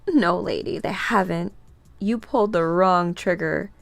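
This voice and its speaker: Woman as a comic book heroine, tough, defiant, firm, slightly gravelly, measured pace. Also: lowish voice, dry recording. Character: defiant tough superheroine standing her ground.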